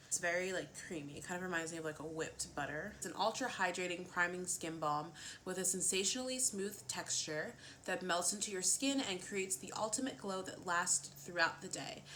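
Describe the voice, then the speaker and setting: Bright-voiced, chatty woman vlogger. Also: on the low side, slight background noise. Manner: chatty, lots of fillers and "like". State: a little sniffly. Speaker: beauty vlogger. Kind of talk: casual chatty get-ready-with-me.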